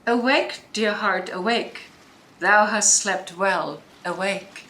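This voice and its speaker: A woman's voice: mid-range voice, takes it slowly, pushed and bright, rough recording. An actress playing a stern, imperious sorceress and mother in a Shakespearean audio drama, with declamatory delivery. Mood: gentle.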